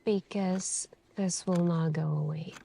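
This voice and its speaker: A woman doing an ASMR roleplay as a suspicious female detective, questioning in a deliberate, pointed way with many pauses. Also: on the low side, steady tone, creaky, mellow, slight background noise. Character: calm, suspicious, probing female police detective. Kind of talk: ASMR interrogation roleplay, slow deliberate delivery.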